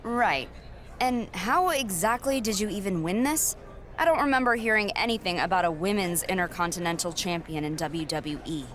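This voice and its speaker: A woman's voice: medium pitch, normal speed, fairly bright tone, natural voice. An actress playing a confident, sassy young woman wrestler in a video game cutscene, cocky and blunt. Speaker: wrestler character.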